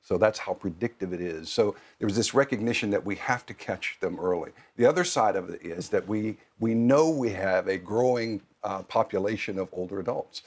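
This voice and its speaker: Low-pitched, articulate older man explaining. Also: normal speed, hardly any pauses. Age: over 50. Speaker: nonprofit program leader, interview guest. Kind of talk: explanatory interview talk.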